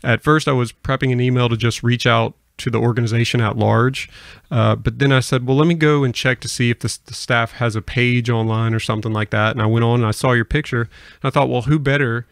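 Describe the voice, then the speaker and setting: A man's voice: medium pitch, steady tone, brisk, creaky, no echo, studio quality. A man hosting a podcast in a conversational interview style, explaining things in a rambling way. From US Gulf Coast. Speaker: podcast host.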